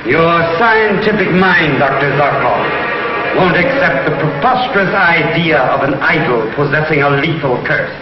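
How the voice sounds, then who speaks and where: An actor playing a gloating alien intelligence chief in a 1950s television sci-fi serial, pompous, theatrical and menacing. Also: male voice, on the high side, animated, normal speed, over loud music, lightly acted. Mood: cold, smug. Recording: muffled, noisy, echoey 1950s TV soundtrack.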